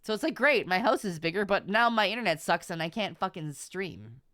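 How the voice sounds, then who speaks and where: A woman talks casually to her livestream viewers. Her pitch moves around a lot as she makes her points emphatically and swears freely. The sound is close and dry, with little room in it. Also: animated, brisk, a bit bright, no echo. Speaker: VTuber streamer.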